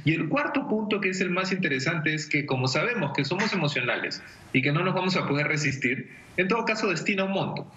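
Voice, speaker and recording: Lively Peruvian man explaining over video call. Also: on the high side, fast talker. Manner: didactic, illustrates with everyday examples. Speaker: personal finance expert on a TV segment. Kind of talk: conversational explanatory advice. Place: indoor room at home. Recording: dull, echoey video call.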